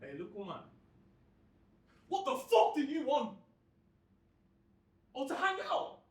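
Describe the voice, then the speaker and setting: Cocky teenage street lad acted out by a storyteller: menacing, taunting, slangy, lively pitch, recorded off-mic in an echoey space. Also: normal speed. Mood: hostile, shouting. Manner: slangy, mocking, swaggering. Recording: roomy, distant mic with faint noise.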